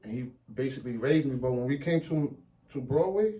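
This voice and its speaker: Mellow-voiced New guy in a chat. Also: mid-range voice, animated, fairly quick, poor audio. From New York. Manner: street slang, mixing in Spanish phrases. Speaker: guest rapper. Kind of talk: casual interview chat.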